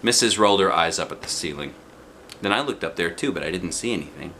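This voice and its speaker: A man reading a children's storybook aloud in an expressive, theatrical narrating style. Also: deep voice, fast talker, a little hiss or hum. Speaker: storybook reader.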